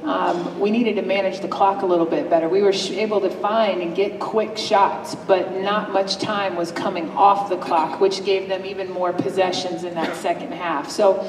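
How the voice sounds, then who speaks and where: A woman in her forties, a head coach, answering press questions in a measured, thoughtful way with frequent pauses to think. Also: fairly low pitch, fairly even intonation. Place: large press room with a table. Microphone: table mic from a distance. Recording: echoey hall, distant mic, room noise.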